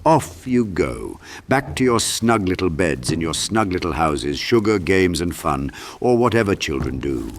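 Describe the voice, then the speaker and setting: A man's voice: deep voice, sing-song, measured pace, few pauses, a little hiss or hum, full character voice. A man voicing a gallant, kindly knight in an audiobook, with a courtly, benign manner. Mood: fondly whimsical. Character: gallant, kindly older male knight with fussy storybook warmth.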